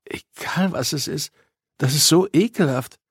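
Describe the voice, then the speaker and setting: A man plays a flustered, anxious girl in an audiobook story. The voice is lifted well up in pitch, strained and whiny, with agitated exclamations in a dry, close sound. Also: measured pace, slightly rough, no room sound. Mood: disgusted. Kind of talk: audiobook character dialogue.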